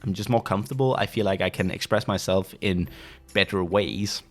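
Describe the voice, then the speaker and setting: A young Danish man hosting a casual solo podcast, pausing often and restarting sentences as he looks for the right word. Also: low voice. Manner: pauses often to search for words, many restarts. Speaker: podcast host. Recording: faint background music.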